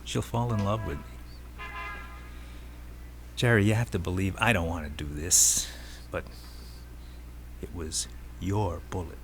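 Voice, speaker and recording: A man's voice: sits low, a little slow, long pauses. An American actor in a radio drama playing a brash, restless army buddy, a self-assured ladies' man who speaks in emphatic, colloquial phrases. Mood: confident, frank. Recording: noisy old radio drama recording.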